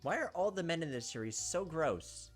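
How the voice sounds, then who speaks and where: A woman's voice: deep voice, very animated, moderate pace, slightly rough. A woman reading a visual novel aloud as gameplay host, with wry, sardonic casual commentary. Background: game music.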